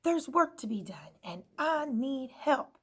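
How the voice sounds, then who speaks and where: A woman's voice: high-pitched, big pitch swings, slow pace, fairly bright tone, husky, voice pushed up, heavily acted. A woman voicing a bossy little red pen. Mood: stern.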